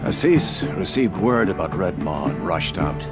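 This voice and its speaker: Deep, lively lodge informant. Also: male voice, unhurried, loud music behind the voice. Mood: concerned. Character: concerned male informant at a hunters' lodge.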